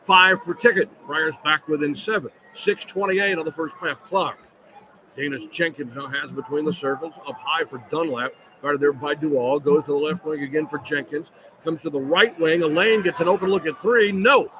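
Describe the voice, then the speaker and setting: Higher-voiced man calling basketball over arena noise. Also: normal speed. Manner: clipped, running description of the action. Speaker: play-by-play radio announcer. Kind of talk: live basketball radio commentary. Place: indoor sports arena, courtside. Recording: loud arena noise behind. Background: loud ambient arena noise.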